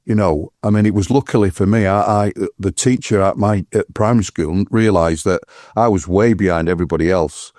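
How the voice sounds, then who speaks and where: A man, a podcast guest who talks in a rambling, halting way full of restarts. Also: sits low, a little mellow, no echo, clean studio sound. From England. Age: 60s. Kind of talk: casual chat.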